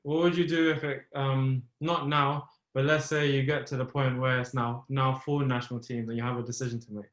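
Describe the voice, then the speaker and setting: A man's voice: medium pitch, level tone, a bit bright, roomy sound. A man hosting a podcast interview, asking questions casually and encouraging his guest with slang. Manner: casual and encouraging, quick "yeah, yeah" and slang like "bro".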